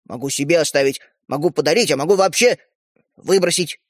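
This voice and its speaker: Male reader as confused, mildly stubborn storybook boy; halting, unsure delivery, dry sound. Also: a little high, no echo, close to an everyday voice. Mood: defensive. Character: bewildered, hesitant schoolboy, mild but stubborn.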